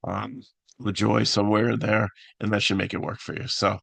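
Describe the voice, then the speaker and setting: A man with a raspy voice hosting a casual fantasy picks talk, halting with many fillers and restarts. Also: lowish voice, level tone, a bit bright, no room sound. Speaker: fantasy sports analyst host.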